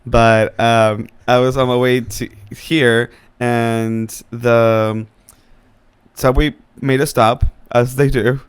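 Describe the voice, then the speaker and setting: A young man co-hosting a casual podcast, talking in a low, raspy voice after an illness, telling stories with drawn-out emphasis. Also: little pitch movement, dry recording. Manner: chatty storyteller, drawn-out emphasis. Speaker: podcast co-host. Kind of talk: casual chat.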